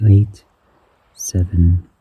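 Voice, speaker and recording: A man guiding a breathing meditation in a slow, soft-spoken manner, counting the breaths aloud and dropping some counts to a whisper. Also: sits low, little pitch movement, breathy edge, rough recording. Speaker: meditation guide.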